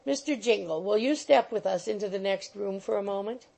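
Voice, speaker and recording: A woman's voice: mid-range voice, brisk, vocal fry, dark tone. A woman voicing a fussy, bustling little male attorney who coaxes and keeps saying "my dear sir". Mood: wheedling. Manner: coaxing, repeats "my dear sir".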